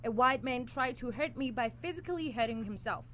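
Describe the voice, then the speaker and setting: A woman plays an earnest, lovestruck student who stands up for himself in a live radio drama. The voice is high and declamatory. There is faint noise in the student studio. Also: lively intonation, fairly quick, sharp tone. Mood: rousing. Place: small college radio studio.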